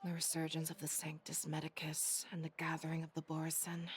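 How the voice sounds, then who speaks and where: A woman's voice: deep voice, completely flat delivery, fairly quick, slight background noise, lightly acted. A woman voicing a stern, resolute warrior general in a video game, speaking formally and solemnly. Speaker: game character voice actor. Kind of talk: dramatic game voice acting. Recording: music behind the voice.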